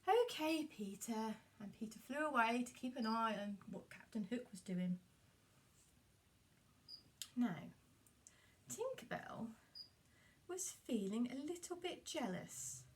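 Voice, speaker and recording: A woman's voice: a little high, animated, slow, pauses often, far from the mic, slight background noise. A woman telling a story to young children in a puppet show, addressing them directly with exaggerated expression.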